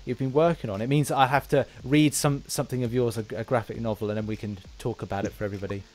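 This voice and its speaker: A man speaks as the host of an interview podcast. His voice sits at an average male pitch. He talks casually and informally, often halting as he puts a phrase together. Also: steady tone, a little mellow, faint music behind. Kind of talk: casual interview chat with sign-off.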